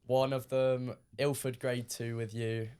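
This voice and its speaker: A teenage man from the UK, a guest on a podcast, talking casually with lots of ums, his voice a little croaky from shouting. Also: mid-range voice, a little slow. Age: teens. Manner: relaxed, many ums, recounting matches. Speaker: guest player.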